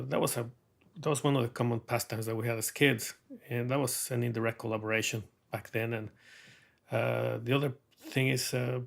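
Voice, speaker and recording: Creaky-voiced man reflecting in conversation. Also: mid-range voice. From Mexico. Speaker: interviewed artist.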